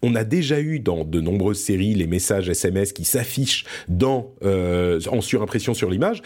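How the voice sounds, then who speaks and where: A man's voice: lowish voice, lively intonation, moderate pace, darkish tone. A man from France hosting a podcast, chatting casually about pop culture and often searching for words as he restarts his sentences. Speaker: podcast host.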